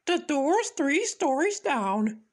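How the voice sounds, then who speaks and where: A performer voicing a kindly, regal male cartoon mouse king, earnest and expressive. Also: sits high, slow pace, pitched above the performer's own voice, heavily acted. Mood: concerned.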